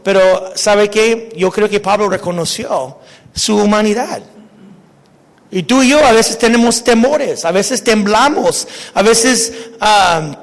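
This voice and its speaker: A man preaching a casual, halting sermon, often restarting words and dropping slang into his talk. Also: high-pitched, long reverb tail. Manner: casual preaching mixing Spanish with English slang. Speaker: pastor. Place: church sanctuary.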